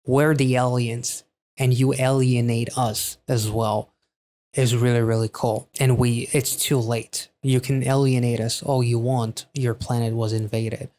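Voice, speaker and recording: A man's voice: mid-range voice, little pitch movement, measured pace, mellow. A man hosting a music reaction, talking casually and enthusiastically about a song and its lyrics, with some offhand swearing.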